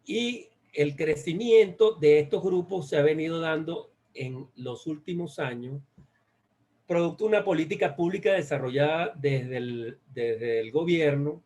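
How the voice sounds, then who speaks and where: A Venezuelan man presents research in a slightly high voice. He speaks in a halting way, doubling back on phrases and searching for the right word as he explains. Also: a little mellow. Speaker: guest speaker. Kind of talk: academic presentation on a research study.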